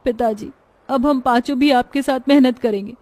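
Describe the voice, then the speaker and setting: Woman voicing a high-pitched, caring young daughter. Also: normal speed, darkish tone, dry recording, lightly acted. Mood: concerned. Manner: concerned, earnest. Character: caring, dutiful young daughter of a poor father.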